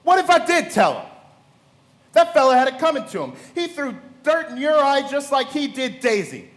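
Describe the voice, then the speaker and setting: An actor playing an arrogant, wealthy, combative man in a radio play, speaking forcefully and indignantly. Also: very high-pitched, sing-song, moderate pace. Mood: angry. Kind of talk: live radio play acting. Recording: reverberant hall.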